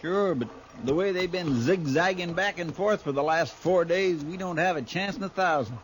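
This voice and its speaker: An actor playing a loyal, earnest young frontier deputy in a 1950s radio western, speaking in a twangy, eager way. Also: male voice, high voice, normal speed, lightly acted. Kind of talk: 1950s radio drama acting.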